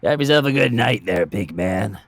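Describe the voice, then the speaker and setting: A man voicing a sly, persuasive adventurer who bargains in a smooth, coaxing way. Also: fairly low pitch, lively intonation, normal speed, voice pushed up.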